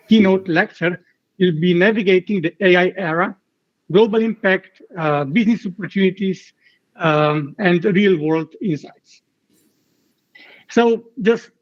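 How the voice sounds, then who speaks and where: A man from Slovenia in his thirties gives a keynote lecture over a video call, his voice fairly high. He talks haltingly, repeating words and filling gaps with "uh". The dull, lo-fi call audio muffles him.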